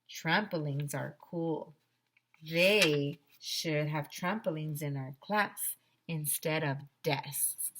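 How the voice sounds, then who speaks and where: A middle-aged woman reading a children's story aloud like a teacher, with expressive, theatrical emphasis into a headset microphone. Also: sits low. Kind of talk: animated children's story read-aloud in a schoolboy's first person. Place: small decorated room indoors.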